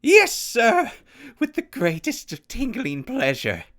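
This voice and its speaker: A man's voice: very high voice, wildly swooping pitch, slow pace, fairly bright tone, pitched above the performer's own voice, full character voice. A man voicing an eager, obedient clown henchman in a radio drama, gushing in florid, theatrical phrases. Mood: gleefully eager. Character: manic male clown henchman, eager, obedient and gleefully destructive. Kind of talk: post-apocalyptic radio drama acting.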